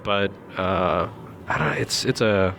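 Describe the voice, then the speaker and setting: A man's voice: low-pitched, animated, unhurried, vocal fry, fairly bright tone. A man giving casual, opinionated and wry commentary on video games. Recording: music behind the voice.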